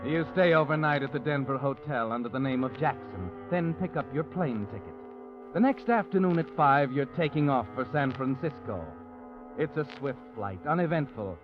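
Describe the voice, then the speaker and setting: Man narrating a spooky old radio thriller, kinda high voice, muffled sound, music underneath. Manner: ominous, insinuating. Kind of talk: second-person radio drama narration.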